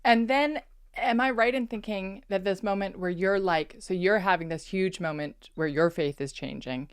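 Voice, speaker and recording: A woman hosting a podcast, asking questions in a lively, playful way in a casual interview. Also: medium pitch, normal speed, clear, resonant tone, no room sound, clean studio sound. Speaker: podcast host. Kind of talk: casual interview over video call.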